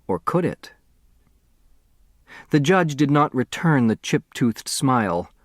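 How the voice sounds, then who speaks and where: Guy reading a kids mystery audiobook, measured storyteller style, mid pitch, really dry sound. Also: big pitch swings, no room sound. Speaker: audiobook narrator. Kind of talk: scripted narration of a children's mystery novel.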